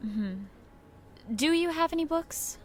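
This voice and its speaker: An actress playing an earnest, stubborn, curious young woman in an audio drama, speaking with quiet determination. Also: extremely high pitch, lively intonation, slow, heavily acted. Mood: polite, cautious. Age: young adult.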